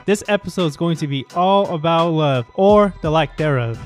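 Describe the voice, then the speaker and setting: A man's voice: fairly high pitch, moderate pace, faint music behind. A man in his thirties co-hosting a podcast with playful, teasing, casual banter. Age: 30s. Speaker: podcast co-host.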